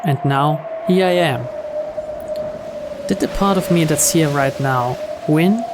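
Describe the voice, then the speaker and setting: A man's voice: on the high side, takes it slowly. A man reading a visual novel aloud as dramatic first-person narration. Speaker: video game player reading the story aloud. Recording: clear background noise.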